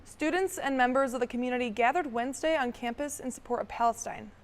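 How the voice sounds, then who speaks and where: A young woman co-anchoring a student newscast, reading the news in a measured, formal way. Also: sits high, big-room sound. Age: young adult.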